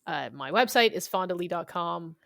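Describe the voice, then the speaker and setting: A woman's voice: lowish voice, lively intonation, moderate pace, gravelly, a bit bright, no room sound, studio quality. A woman being interviewed as a guest author, talking in an informal, thoughtful way. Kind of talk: conversational interview.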